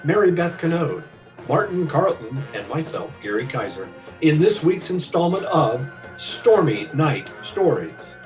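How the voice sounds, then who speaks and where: A man works as an old-time radio announcer, reading intros and sponsor copy. His voice is fairly high and swings widely in pitch. He sounds set back from the microphone, with music behind him. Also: big pitch swings, a little slow, recorded from a distance, rough recording.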